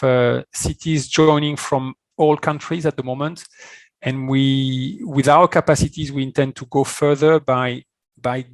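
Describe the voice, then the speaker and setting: A man speaking off the cuff as a conference panelist, explaining in a halting way and often repeating words. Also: on the high side, dry recording. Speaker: conference speaker, climate initiative representative. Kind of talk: spontaneous explanation at an online conference.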